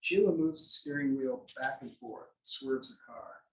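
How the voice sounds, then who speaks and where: A man's voice: mid-range voice, a little slow, fairly bright tone. A man reading scripted stage directions as the narrator at a play table read. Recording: echoey room, distant mic.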